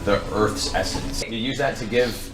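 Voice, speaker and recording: Low-voiced man narrating a tabletop game. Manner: descriptive, deliberate storytelling. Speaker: game master. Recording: roomy sound with music underneath.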